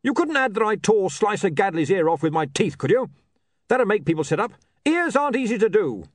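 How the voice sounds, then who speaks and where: A man voicing a brawny male barbarian who is touchy about his reputation, in a storybook reading, raising his voice loud and high. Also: big pitch swings, fairly quick, no room sound, clean studio sound. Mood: aggrieved. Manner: loud blustering complaint.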